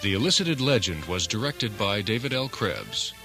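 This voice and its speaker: A man's voice: lowish voice, sing-song, normal speed. A man announcing radio drama credits in a scripted style, with music behind him.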